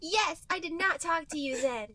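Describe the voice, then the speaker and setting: A very high-pitched, distressed cartoon hedgehog girl, acted by a woman in an amateur reading. The delivery is theatrical and slightly halting, and the voice sounds a little distant from the mic. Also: noisy background. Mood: flustered. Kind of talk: amateur dramatic reading of fan fiction.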